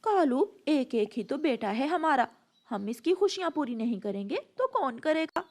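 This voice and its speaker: Woman acting a high-voiced, doting cartoon bird mother. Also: sing-song, moderate pace. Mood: reproachful, persuading. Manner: reasoning, motherly.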